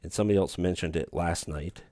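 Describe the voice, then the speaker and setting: A man's voice: quick pace, warm tone, no room sound. A man hosting a casual solo podcast, rambling with dry, self-deprecating humor in a soothing voice. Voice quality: soothing low voice. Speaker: podcast host. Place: recording remote, away from studio.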